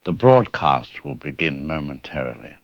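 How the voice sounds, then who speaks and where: Man playing a very deep, echoing sinister host. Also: big pitch swings, moderate pace, exaggerated voice. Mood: ominous, musing. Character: sinister, courteous male host musing on monsters. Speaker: narrator host introducing the show. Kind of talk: ominous scripted horror anthology introduction. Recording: echoing, slightly muffled sound.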